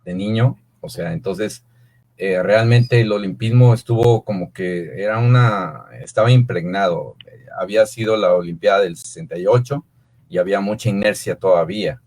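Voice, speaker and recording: Fifties Mexican-accented man, slightly low voice, rambling filler-heavy answers. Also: moderate pace, a little mellow. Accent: Mexican Spanish. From Mexico City. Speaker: guest, swim coach. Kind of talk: relaxed interview answers.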